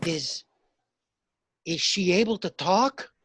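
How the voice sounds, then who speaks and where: Lowish female voice, slow, shaken earnest questioner, grave, dry sound, radio drama. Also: expressive, very noisy. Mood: concerned. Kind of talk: radio play acting.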